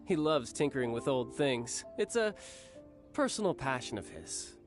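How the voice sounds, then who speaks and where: Guy voicing a smooth teasing young fighter, big brother type, lively lilting delivery, soft music behind. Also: mid-range voice, normal speed, close to an everyday voice. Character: cocky young male warrior, doting older brother, playful. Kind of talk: game cutscene voice acting.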